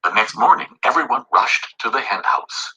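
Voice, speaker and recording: A man narrating a children's story in an animated, scripted read-aloud style. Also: lowish voice, moderate pace, slightly rough, low-quality recording.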